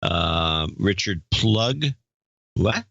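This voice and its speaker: Low-voiced man with a dull, lo-fi sound. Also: animated, very slow, a bit bright, no echo. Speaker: podcast co-host. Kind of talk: casual chat, reading donor names.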